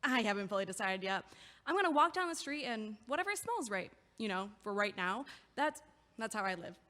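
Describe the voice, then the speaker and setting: An actress playing a friendly, chatty neighbor who is a physical therapist, talking in a warm, easygoing way in an audio drama. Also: female voice, mid-range voice, sing-song, a little fast, big-room sound.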